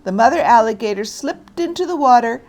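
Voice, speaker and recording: A woman narrating a children's story aloud in an expressive, deliberate read-aloud style. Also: fairly high pitch, soft background music.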